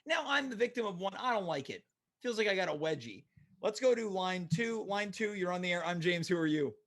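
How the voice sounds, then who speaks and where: A man with a high, slightly rough voice hosts a phone-in show. He banters with callers in a playful, mock-earnest manner, treating silly matters as serious business. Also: lively intonation, fairly quick, a bit bright. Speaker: call-in show host.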